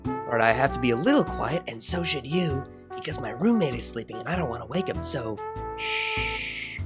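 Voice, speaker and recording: A woman's voice: very deep voice, animated, moderate pace, loud music behind the voice. A young adult woman who narrates fan fiction and chats casually as host of her channel.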